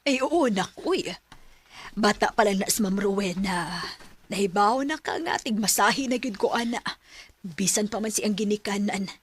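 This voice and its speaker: An actress playing a middle-aged mother who works as a masseuse, with a husky voice and a gentle but stubborn way of talking. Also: medium pitch, normal speed, lightly acted.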